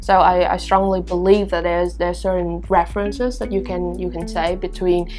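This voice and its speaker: A woman's voice: fairly low pitch, flat delivery, normal speed, darkish tone, faint music behind. A teacher speaking English in a casual interview, chatty and self-deprecating, laughing often as she talks. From Vietnam. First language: Vietnamese. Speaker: teacher and guest.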